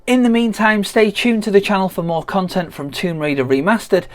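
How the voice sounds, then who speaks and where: A gaming channel host giving a measured, explanatory, scripted narration for a video essay. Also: mid-range voice, steady tone. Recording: music behind the voice. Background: background music.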